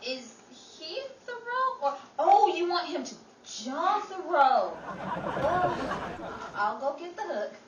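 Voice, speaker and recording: A woman's voice: very high voice, very animated, slow, lo-fi sound, crowd noise, full character voice. A woman playing a wry, teasing, sarcastic character in a 1930s radio comedy. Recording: roomy, distant, faint background noise.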